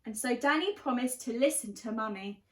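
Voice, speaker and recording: A woman's voice: a little high, moderate pace. A woman reading a children's picture book aloud expressively, with dramatic emphasis on key words. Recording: roomy, echoing room sound.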